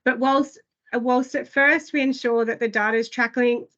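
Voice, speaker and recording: A woman with a fairly high, slightly hoarse voice walks listeners through new platform features. She talks in loose, hesitant runs, leaning on "I guess" and "um". The sound is dull and lo-fi, as over a video call. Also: steady tone, normal speed, a bit bright. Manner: filler-heavy, frequent "I guess" and "um". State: losing her voice. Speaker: product presenter. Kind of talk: online product briefing.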